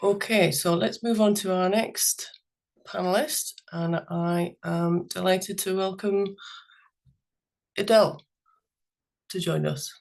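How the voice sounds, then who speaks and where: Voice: medium pitch, steady tone, slow pace, creaky, pauses often. A webinar moderator thanking a speaker and welcoming the next panelist, upbeat with some stumbles.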